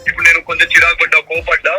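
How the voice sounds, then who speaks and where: A man's voice: a little high, completely flat delivery, very fast, loud music behind the voice. A man talking casually over the telephone, informal, repeating short words and mixing in English. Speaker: guest's husband, phone caller. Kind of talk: casual phone chat. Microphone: phone call.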